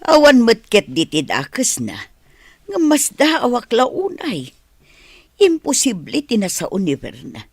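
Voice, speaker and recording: A woman's voice: fairly high pitch, wildly swooping pitch, unhurried, no room sound, studio quality, heavily acted. A woman with a Filipino accent playing an emotional, resentful mother in a radio drama. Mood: resentful, indignant.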